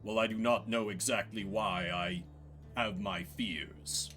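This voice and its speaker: A man voicing a grave, wise elder rebel leader in a game, speaking in a measured, formal, solemn manner. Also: on the low side, steady tone, sharp tone, faint music behind, voice pushed down.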